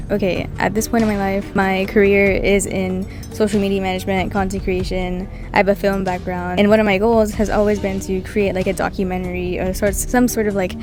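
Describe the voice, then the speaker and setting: Young woman vlogger, mid-pitched, casual and chatty with lots of fillers, music bed underneath. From Puerto Rico. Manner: chatty, frequent "like" and "kinda" fillers. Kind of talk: casual personal storytelling to camera. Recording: music playing in the background.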